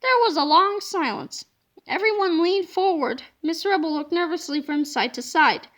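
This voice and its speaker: Woman, children's read-aloud, expressive storyteller, emphatic, very high pitch, bright tone. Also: moderate pace.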